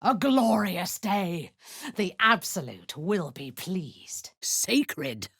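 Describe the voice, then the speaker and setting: An actress voicing a ruthless drow woman commander who leads zealous raids, speaking in a cold, commanding voice with a husky edge that often sinks into a whisper. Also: on the low side, very animated, drawn-out words, a bit bright, no echo, studio quality, heavily acted. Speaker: game character. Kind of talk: video game voice lines.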